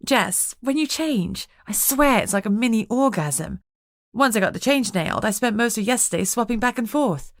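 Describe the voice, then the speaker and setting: A woman voicing an excitable, competitive young werewolf woman who talks high and animated in an audiobook. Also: moderate pace, no room sound, studio quality. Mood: gleeful.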